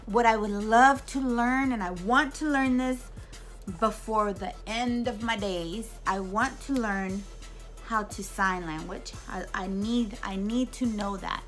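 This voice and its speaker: Chatty woman in her thirties. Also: mid-range voice. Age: 30s. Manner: chatty, animated, many fillers and restarts. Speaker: YouTube vlogger. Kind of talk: casual Q&A talk to camera.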